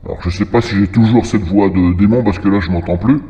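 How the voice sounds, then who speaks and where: A man giving an informal software tutorial through a demon voice-changer effect, rambling and thinking aloud. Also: fairly even intonation, a little fast, highs cut off. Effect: demon voice changer: lowered pitch, reverb. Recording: long echoing reverb from effect.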